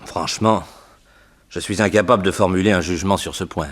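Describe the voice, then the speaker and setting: An actor playing a measured, courteous doctor in a 1960s radio detective drama. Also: male voice, low voice, steady tone. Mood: measured, concerned.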